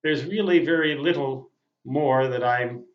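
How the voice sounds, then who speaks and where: Slow-talking man in his sixties, roomy sound. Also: mid-range voice. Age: 60s. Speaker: veteran amateur radio operator. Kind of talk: casual reflective monologue. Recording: muffled audio in a roomy space.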